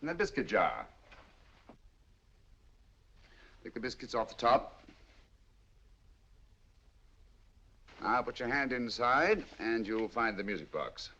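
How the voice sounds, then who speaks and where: An actor playing a sharp, commanding detective in a 1940s film, speaking with crisp precision. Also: male voice, medium pitch, sing-song, moderate pace, full character voice. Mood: dry. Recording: dull lo-fi old film soundtrack.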